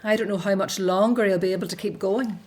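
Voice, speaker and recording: Woman acting outspoken hebrew daughter, frustrated and earnest, mid-pitched, radio drama. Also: fairly even intonation, quick pace, a little mellow, natural voice. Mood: worried.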